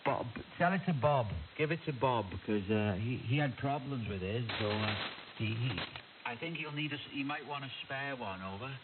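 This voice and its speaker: A man's voice: mid-range voice, animated, normal speed. A man chatting casually as an amateur radio operator over a shortwave link, hesitating and repeating his words. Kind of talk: casual ham radio conversation. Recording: noisy, hissy, dull shortwave radio signal.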